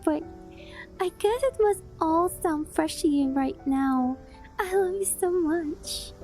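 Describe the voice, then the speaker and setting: Woman as adoring kitsune wife for ASMR roleplay: very mellow, unhurried, shy, affectionate, whispered touches, music behind. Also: extremely high pitch. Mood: adoring, happy. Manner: coy, hesitant, affectionate, softly breathy with whispered phrases. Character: doting, clingy, obsessive young kitsune fox-spirit wife. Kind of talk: ASMR roleplay, intimate sleep-aid monologue.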